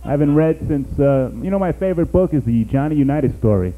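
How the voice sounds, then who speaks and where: A man's voice: a little high, animated, quick pace, phone-quality sound. A man from New York doing a rambling, self-mocking stand-up routine, talking to the crowd on a small cafe stage. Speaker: stand-up comedian.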